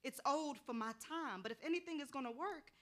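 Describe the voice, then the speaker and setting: Woman playing bossy american street psychic, streetwise and impatient, highish sharp voice, stage drama. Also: fairly quick. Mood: impatient, commanding. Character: hard-bitten, impatient street spiritual reader, a hustling woman. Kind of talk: staged play reading.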